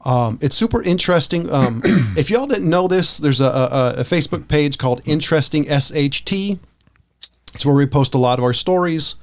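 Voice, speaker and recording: A man's voice: on the high side, expressive, normal speed. A man co-hosting a podcast in casual chat, rambling along in an informal, enthusiastic way. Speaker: podcast co-host.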